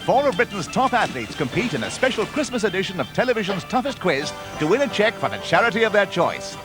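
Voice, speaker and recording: High-pitched, animated British male trailer announcer. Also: quick pace. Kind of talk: upbeat scripted promo voice-over. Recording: old TV tape, loud music bed. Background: loud trailer music.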